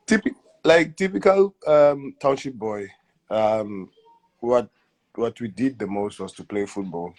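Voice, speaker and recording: A man in his thirties with a South African accent, answering interview questions in a hesitant, halting way, often repeating words and letting sentences trail off quietly. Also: mid-range voice, expressive. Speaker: guest footballer. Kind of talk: casual interview.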